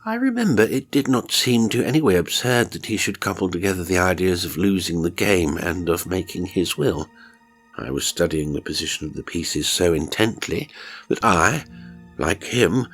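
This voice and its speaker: Horror audiobook narrator: man with a deep voice, dramatic first-person reading over music. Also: fairly bright tone.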